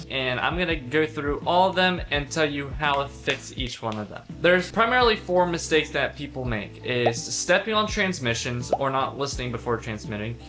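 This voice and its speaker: Young man, casual video instructor, crisp bright edge, emphatic on key points, faint music underneath. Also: on the high side. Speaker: instructor, video host and pilot.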